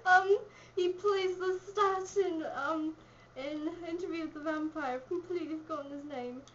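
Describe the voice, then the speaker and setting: A woman's voice: very high-pitched, normal speed, fairly bright tone, dull audio, a little hiss or hum. A woman with an accent between English and Scottish, chatting casually in a giggly, teasing way. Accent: mixed English and Scottish. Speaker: vlog co-host. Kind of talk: casual chat.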